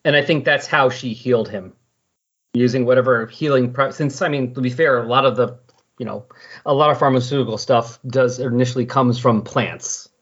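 A man's voice: mid-range voice, normal speed, fairly bright tone, breathy edge. A man chatting casually and conversationally as a podcast co-commentator, rambling a little as he explains things. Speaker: podcast co-commentator on comic books. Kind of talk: casual chat, recounting comic book plots. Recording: slightly dull, lo-fi audio.

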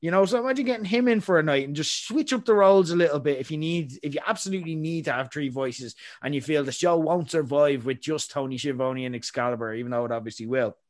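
A man's voice: on the high side, quick pace, few pauses. A young adult man, hosting a podcast and giving rambling, opinionated takes. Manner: rambling, opinionated, frequent fillers. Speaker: podcast host. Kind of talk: casual chat.

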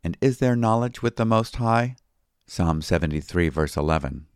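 A man reading a scripted devotional commentary as a pastor, in a measured, formal way. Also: low voice, animated, smooth, dry recording, studio quality. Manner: measured, formal, citing chapter and verse.

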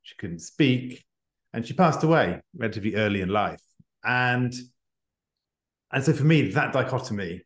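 A middle-aged man speaking earnestly and reflectively as an interview guest. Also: lowish voice. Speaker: podcast guest, executive coach and author. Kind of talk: conversational interview. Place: indoor room, remote video call. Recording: echoey room on a remote call.

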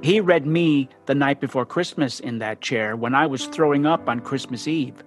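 A woman's voice: a bass voice, normal speed, soft background music. A woman acting in a radio play as a sardonic, competitive grown sister with a dry, terse, needling manner. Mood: wistful.

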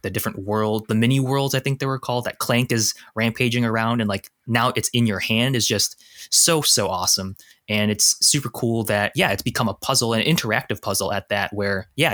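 A man hosts a casual interview in a lowish voice, full of eager praise. The recording is dry and close. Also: a bit bright, dry recording. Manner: effusive, chatty. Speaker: interview host.

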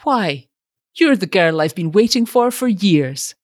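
A woman's voice: on the low side, very animated, measured pace, no room sound, studio quality. Woman reading as an ardent, impetuous young airman. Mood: daring, playful. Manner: impetuous, declamatory. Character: ardent, cocky young airman suitor, masterful and buoyant. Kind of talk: dramatised short story reading.

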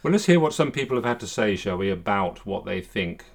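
Low-voiced man explaining in an erudite way. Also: sing-song, a little fast, vocal fry. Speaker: podcast host. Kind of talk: discursive conversation with read-out historical quotes.

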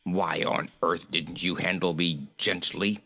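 Narrator doing a male talking goat, low gruff growl, sulky, scolding, storybook character. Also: fairly even intonation, takes it slowly, pushed and bright, full character voice. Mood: angry scolding. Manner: curt, disrespectful, complaining.

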